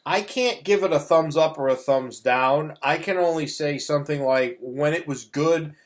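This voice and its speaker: Male review host, middle-aged, higher-than-average pitch, exasperated and opinionated, casual delivery. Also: moderate pace. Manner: blunt, scornful, exasperated. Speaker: host of a movie review show. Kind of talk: casual opinionated movie rant.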